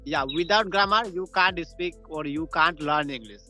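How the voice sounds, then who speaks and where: A young man from Bihar, a native Hindi speaker, working through his English on a video call. His voice is high and bright, and he hedges, corrects himself and laughs as he goes, with faint music in the background. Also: moderate pace. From Bihar, India. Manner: hesitant, self-correcting, laughs often, repeats "you can say". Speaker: English learner in practice call. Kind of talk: casual English practice conversation on a video call.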